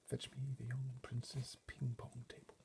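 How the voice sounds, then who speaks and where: A man's voice: medium pitch, little pitch movement, a little mellow, voice pushed up. Man playing a whispering, fussy royal butler. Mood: hushed, commanding. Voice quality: whispery, breathy. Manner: formal, measured orders. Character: discreet, decisive royal butler, fussy and commanding. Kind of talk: storybook readaloud character voice.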